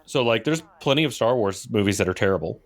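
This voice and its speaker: A man's voice: on the low side, expressive, quick pace. A man chatting casually and informally as a podcast co-host, readily giving his opinions.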